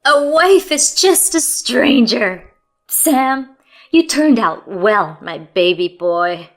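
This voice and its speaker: List: haughty older mother-in-law voiced by a woman, sneering, high and loud, storybook, faint music. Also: sing-song, slow pace, full character voice. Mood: scornful. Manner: sneering, shrill scolding.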